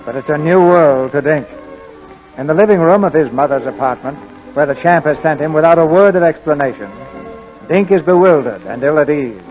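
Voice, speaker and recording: A man's voice: on the high side, a little fast, darkish tone. A man hosting and narrating a 1940s radio drama from a script. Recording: muffled old 1940s radio recording. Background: faint music.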